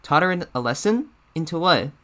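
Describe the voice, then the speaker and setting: A man's voice: a little high, lively intonation, a little fast, sharp tone. A man voicing an eager, deferential cartoon shadow bear sidekick in hesitant, improvised banter. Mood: curious, amused. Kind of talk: improvised character reaction banter.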